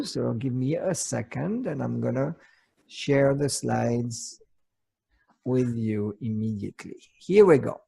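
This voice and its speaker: A man with a Swiss accent speaks in a creaky, husky voice at an average pitch. He presents conversationally as a coaching co-host, picking his words haltingly. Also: dark tone. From Switzerland. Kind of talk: semi-prepared conversational presentation.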